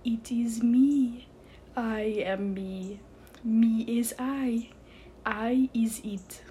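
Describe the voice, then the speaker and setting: A woman voicing a small, boastful, theatrical male fantasy creature with an exaggerated French accent, declaiming pompously and excitedly in a storybook reading. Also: fairly high pitch, extremely slow, slight background noise. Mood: grandiose, boastful. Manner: shrieking, bouncing, pompous declamation.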